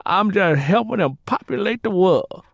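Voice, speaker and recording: Audiobook role: loud, irreverent Southern husband, raised pitch, folksy drawl, fond of mocking and drink. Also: male voice, lively intonation, normal speed, gravelly, no echo, exaggerated voice. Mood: mocking, gleeful. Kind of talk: audiobook character acting.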